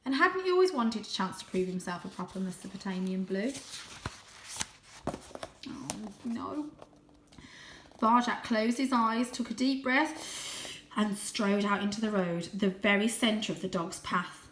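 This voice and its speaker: A woman reading a children's story aloud in an expressive, suspenseful, animated way. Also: medium pitch, measured pace, long pauses, echoey room, a little hiss or hum, rough recording. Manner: animated, suspenseful, with gasped asides. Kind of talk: dramatic, expressive audiobook-style story narration.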